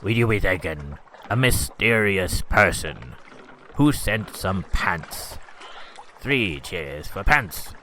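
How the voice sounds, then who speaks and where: A man voicing a grand pirate leading cheers, with drawn-out pirate talk full of "arr"s. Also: low-pitched, lively intonation, sharp tone, a little hiss or hum, exaggerated voice. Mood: rousing. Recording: distant, with sea and boat ambience. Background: water and boat sounds.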